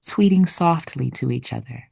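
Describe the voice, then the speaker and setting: A woman reading a children's picture book aloud expressively, with deliberate storytelling pauses. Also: very deep voice, expressive, creaky, darkish tone, breathy edge. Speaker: children's read-aloud narrator.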